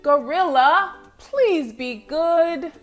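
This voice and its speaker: Casting: woman playing a pleading storybook kid scolding a naughty pet, high and swooping, words stretched out. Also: animated, soft background music, full character voice. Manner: exclaiming, drawn-out pleading.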